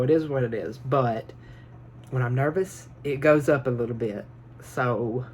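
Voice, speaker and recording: A man giving advice in a casual phone vlog from his bedroom, talking nervously and quickly, rambling with lots of fillers and restarts. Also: medium pitch, a little hiss or hum. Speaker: vlogger. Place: small bedroom. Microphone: phone built-in mic, no microphone.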